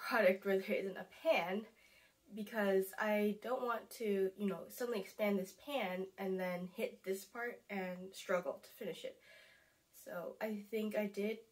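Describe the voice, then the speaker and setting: Young female vlogger, nasal and congested from a cold, casual rambling to-camera talk, mid pitch. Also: measured pace. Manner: chatty, rambling, self-correcting, laughs at herself. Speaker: beauty vlogger.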